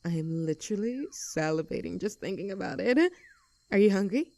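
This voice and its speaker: An easygoing young tomboy played by a woman chats affectionately as if to a close friend on a camping trip. Her voice sits at an average female pitch, with a casual, cheerful delivery suited to intimate roleplay audio. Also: expressive, normal speed, mellow, no echo, natural voice. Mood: excited, chatty. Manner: casual, chatty, giggly, affectionate. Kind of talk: roleplay audio drama, intimate one-sided conversation.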